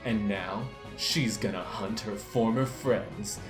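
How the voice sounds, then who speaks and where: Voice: medium pitch, slow, music in the background. Young adult reading-group narrator, echoey room. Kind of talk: dramatic story narration and casual chat.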